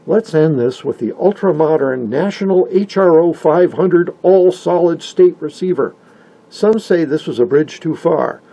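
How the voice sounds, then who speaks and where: A man's voice: a little high, vocal fry, mellow, slightly rough, rough recording. A man narrating a hobbyist video from a script, with wry, folksy, playful asides and an enthusiast's turn of phrase.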